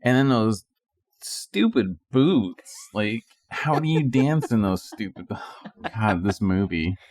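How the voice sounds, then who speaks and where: A man's voice: fairly low pitch, big pitch swings, slow pace, darkish tone. A man co-hosting a podcast, chatting casually in an incredulous manner. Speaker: podcast co-host. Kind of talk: casual chat.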